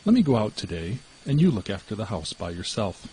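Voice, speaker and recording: A man voicing a sly, greedy female cat in a storybook, smooth-talking and coolly deceitful. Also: lowish voice, big pitch swings, a little fast, warm tone, heavily acted, rough recording. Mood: coaxing. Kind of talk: storybook character voice.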